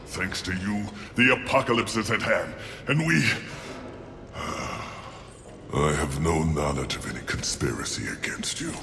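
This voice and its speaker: An actor voicing a proud, embattled angel commander in a video game, speaking with grand, formal deliberation. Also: male voice, low voice, unhurried, bright, forceful tone, exaggerated voice. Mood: bitter. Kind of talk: theatrical video game acting. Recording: echoey hall reverb, clear noise.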